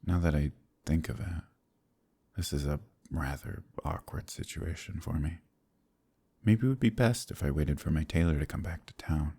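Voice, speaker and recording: A man acting a courteous, brooding werewolf in human form, with a gravelly, husky voice and halting, old-fashioned speech in a dramatic ASMR roleplay. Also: rumbling low, dark tone, heavily acted. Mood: polite, awkward. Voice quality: gravelly, husky, breathy at times. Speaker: voice actor in an audio roleplay.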